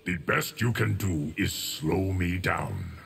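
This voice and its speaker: Very deep, solemn immortal guardian. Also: male voice, vocal fry, fairly bright tone, husky, heavily acted. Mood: unyielding. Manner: formal, measured, portentous. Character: ancient immortal male guardian of time, solemn and stoic. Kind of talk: fighting game character dialogue. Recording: long reverb, music behind.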